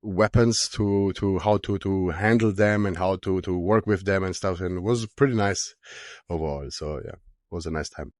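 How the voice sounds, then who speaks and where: A man's voice: sits low, normal speed, darkish tone, no room sound, studio quality. A man in his thirties with an Austrian German accent, raised in Austria after being born in Romania, answering interview questions casually with many fillers and restarts. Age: 30s. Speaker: guest, strongman athlete.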